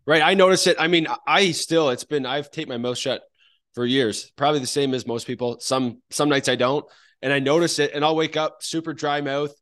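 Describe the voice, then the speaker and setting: A man's voice: on the high side, fairly even intonation, moderate pace, fairly bright tone. A man hosting a podcast in a casual, informal way, with loose rambling phrasing. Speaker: podcast host. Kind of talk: casual conversational interviewing.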